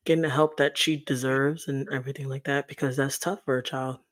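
A young adult woman with a deeper voice, hosting a casual interview in a supportive, easygoing way. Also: steady tone, fairly quick. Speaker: host.

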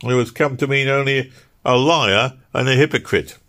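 A man's voice: medium pitch, normal speed, bright, forceful tone, pitched above the performer's own voice. A man doing an earnest, arguing workman. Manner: earnest, argumentative.

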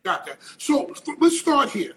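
A man hosting an interview, excitable, jumping in and repeating himself. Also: very high-pitched, moderate pace, a little hiss or hum. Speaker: podcast host. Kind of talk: casual interview conversation.

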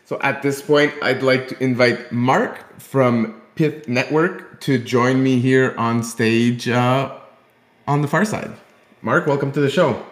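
A man's voice: medium pitch, fairly bright tone. A man hosting a casual community chat show, friendly and loose with plenty of fillers. His mid-range voice carries a noticeable room echo. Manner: friendly, filler-heavy interviewer. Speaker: podcast host. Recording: roomy echo.

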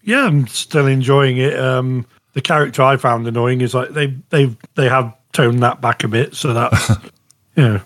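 A man's voice: mid-range voice, fairly even intonation, unhurried, dry recording. Hesitant man chatting, dry sound. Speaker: podcast co-host. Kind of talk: casual chat.

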